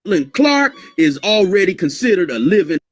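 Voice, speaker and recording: A man narrating a sports highlight video from an informal script, addressing the viewers directly. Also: big pitch swings, moderate pace.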